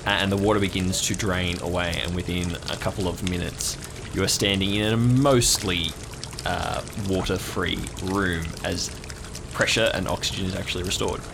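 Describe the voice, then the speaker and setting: A man acting as game master, improvising descriptive scene narration and pausing as he builds up the detail. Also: low voice, fairly even intonation, gravelly, background noise.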